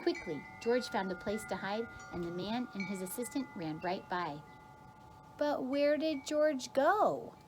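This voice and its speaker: Expressive woman reading a picture book. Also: mid-range voice, a little slow, slight background noise. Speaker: kindergarten teacher reading aloud. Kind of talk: expressive children's picture-book read-aloud.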